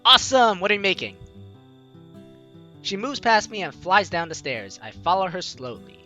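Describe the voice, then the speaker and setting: A man's voice: high-pitched, very animated, normal speed, pushed and bright, lo-fi sound. A young man playfully reading a visual novel aloud and commenting on it as a let's play host, with game music behind him. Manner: playful, theatrical, jokey reactions.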